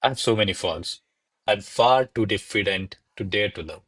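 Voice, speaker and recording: A man's voice: on the low side, moderate pace, fairly bright tone, breathy edge, no room sound. A man playing a diffident, formal male secretary who speaks deferentially to his lady in a radio play. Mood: humble, evasive. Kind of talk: stage verse drama read as radio play.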